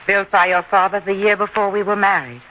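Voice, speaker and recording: A woman acting a patient, worried widowed mother on a farm in an old-time radio drama, reasoning gently and in measured phrases. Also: medium pitch, fairly even intonation, lightly acted. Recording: dull, lo-fi radio recording with hiss.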